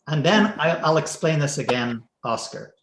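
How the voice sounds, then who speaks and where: Give me a jokey man leading a video call, with a bouncy, lively voice in a roomy space. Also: on the high side, normal speed, a little hiss or hum. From Canada. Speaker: host of a math puzzle session. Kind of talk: casual video call chat.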